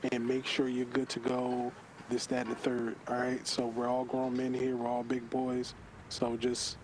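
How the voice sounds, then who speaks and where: A man's voice: medium pitch, a little hiss or hum, rough recording. A man leading an online gaming group, giving an informal briefing in a firm, instructing manner over voice chat.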